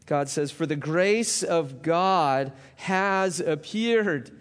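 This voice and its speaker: A man with a fairly high voice gives a Sunday sermon. He reads scripture in a slow, measured way, then moves into relaxed, conversational teaching with asides to the congregation. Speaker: pastor.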